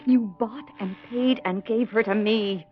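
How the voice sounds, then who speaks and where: A woman's voice: medium pitch, steady tone, measured pace, faint music behind, natural voice. A woman playing a possessed wife in a horror radio play, menacing and mocking, sliding into whispers and wails. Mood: mocking, contemptuous. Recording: muffled lo-fi radio drama recording.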